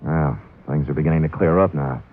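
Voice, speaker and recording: An actor playing a wry, hard-boiled male private detective in a vintage radio crime drama, narrating and talking in a laconic, deadpan way. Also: extremely low pitch, a little fast. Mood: cool, wry. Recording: muffled vintage radio recording, music behind.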